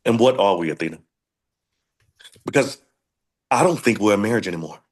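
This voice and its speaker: A man's voice: on the low side, expressive, fairly quick, slight background noise, lightly acted. A man reading the part of an exhausted, wounded husband confronting his wife at a drama table read. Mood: bitter, resigned.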